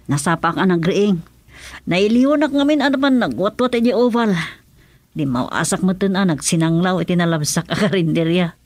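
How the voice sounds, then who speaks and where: An actor playing a chatty household helper in a radio drama. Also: male voice, high-pitched, lively intonation, moderate pace, dry recording. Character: household helper greeting madam.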